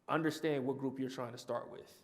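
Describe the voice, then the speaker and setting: A man's voice: mid-range voice, fairly even intonation, brisk. A man on a panel answering an audience question, talking conversationally with frequent restarts and repeats.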